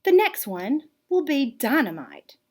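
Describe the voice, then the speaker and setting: A woman's voice: high-pitched, very animated, unhurried, voice pushed up. A woman voicing an easygoing young cat in a picture book, with simple, laid-back phrasing. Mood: upbeat, unbothered. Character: easygoing groovy young cartoon cat, sincere and artistic.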